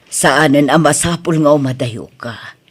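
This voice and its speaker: A woman's voice: low-pitched, a little slow, slightly rough. A woman playing an aging mother, strict, emotional and protective, in an Ilocano family drama. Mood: wistful. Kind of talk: Ilocano family radio-style drama acting.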